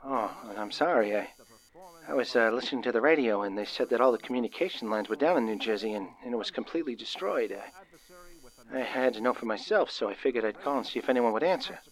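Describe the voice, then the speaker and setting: A man's voice: mid-range voice, lively intonation, fast talker, close to an everyday voice, low-quality recording. A man playing a lonely, frightened caller in an old-time radio drama, stammering and hesitant as he pleads. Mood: confused, hesitant. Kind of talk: 1940s radio drama acting.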